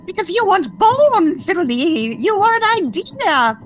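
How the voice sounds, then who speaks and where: Mischievous otherworldly she-villain, piercingly high, chanting in slow riddles, radio play, music behind. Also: sing-song, heavily acted. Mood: gloating. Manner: riddling, incantatory, drawn-out rhymes. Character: taunting female fey villain, a living fiction turned god. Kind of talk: old-time radio drama acting.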